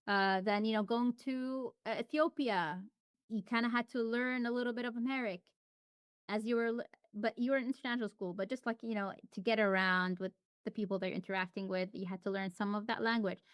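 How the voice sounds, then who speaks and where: A woman's voice: fairly high pitch, fairly even intonation, a little fast, clear, resonant tone, dry recording, studio quality. A woman as an interview guest, talking casually and reflectively with frequent restarts and fillers. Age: adult.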